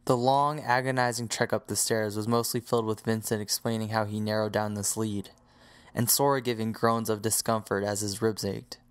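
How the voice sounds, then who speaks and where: A man's voice: fairly low pitch, little pitch movement, moderate pace, clean tone. A man reading scripted story narration as the narrator of an audio drama.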